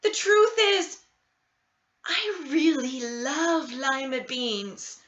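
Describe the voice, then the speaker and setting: A young storybook schoolgirl, acted out by a woman, emotional and self-conscious. Her voice is high and bright, with big, dramatic swings in pitch. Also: lively intonation, drawn-out words, highs cut off, exaggerated voice. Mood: urgent, confessing.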